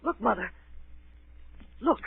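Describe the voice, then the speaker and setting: A man's voice: slow pace, lightly acted. A male actor playing an anxious, earnest fourteen-year-old boy, urgent and pleading, in a 1930s radio drama. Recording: tinny, noisy 1930s radio broadcast.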